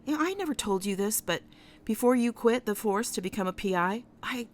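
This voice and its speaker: Woman acting an animated, direct police chief. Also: a little high, a little fast, natural voice. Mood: friendly, probing. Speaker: actor in a radio drama. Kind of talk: contemporary radio drama acting.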